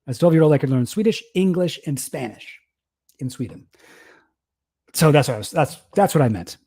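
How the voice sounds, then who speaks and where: Mellow-voiced man rambling on stream. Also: on the high side, expressive, brisk. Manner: rambling, self-deprecating, laughs often. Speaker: streamer reacting to a debate. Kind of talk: casual livestream commentary.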